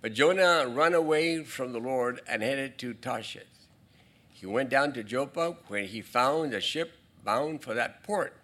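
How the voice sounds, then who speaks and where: Halting pastor preaching a sermon. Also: male voice, medium pitch, lively intonation, a bit bright. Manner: halting, with frequent restarts and asides to the congregation. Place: church sanctuary.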